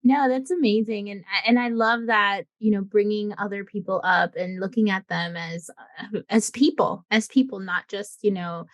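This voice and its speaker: A woman's voice: medium pitch, steady tone, normal speed, dry recording. A woman co-hosting an interview podcast, speaking casually and affirmingly, feeling her way through her phrasing. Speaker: podcast co-host.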